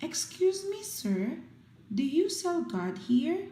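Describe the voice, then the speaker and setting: A woman's voice: high-pitched, very animated, slow, dark tone, pitched above the performer's own voice, full character voice. A woman voicing an innocent, polite ten-year-old boy in a storybook reading. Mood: polite, hopeful. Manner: polite, earnest asking. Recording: roomy echo, music behind.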